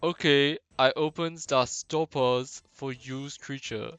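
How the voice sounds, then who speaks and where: A man's voice: mid-range voice, fairly even intonation, fairly bright tone, clear, resonant tone, no room sound, faint music behind, voice pushed up. A man voicing a simple-minded, earnest ogre in a video game, warning people in childlike broken English. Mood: cautious. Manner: slow, childlike broken grammar. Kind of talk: hobby game voice acting.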